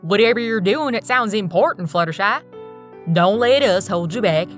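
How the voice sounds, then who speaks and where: A woman's voice: medium pitch, lively intonation, normal speed, soft background music, lightly acted. A woman voicing a plainspoken, kindly farm pony mare with a Southern US accent in a storybook tale, folksy and easygoing. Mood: encouraging.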